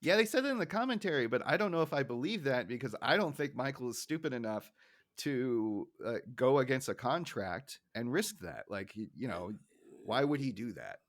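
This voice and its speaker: A man with a medium-pitched voice co-hosts a casual podcast. He is chatty and pokes fun at himself as he talks through cartoon recaps. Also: animated, moderate pace, fairly bright tone. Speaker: podcast co-host.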